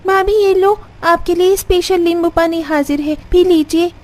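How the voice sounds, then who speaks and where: A woman's voice: very high-pitched, steady tone, moderate pace, a little mellow. A woman voicing a cheeky young sister-in-law in a cartoon story, girlish and chirpy, fond of ordering others about. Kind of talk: Hindi cartoon story acting.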